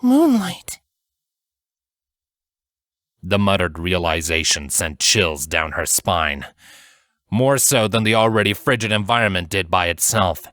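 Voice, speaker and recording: Male audiobook narrator, dark fantasy: lowish register, unhurried and solemn, very dry close sound. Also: dry recording. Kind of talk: dramatic scripted fantasy story narration.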